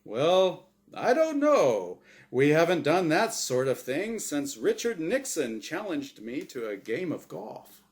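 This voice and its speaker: A man's voice: on the high side, huge pitch swings, takes it slowly, hardly any pauses, voice pushed up, heavily acted. A man voicing a smug, showy angel who hosts a game show, with theatrical, emphatic patter in a storybook reading. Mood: smug, jovial. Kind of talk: storybook character voice in dramatic reading.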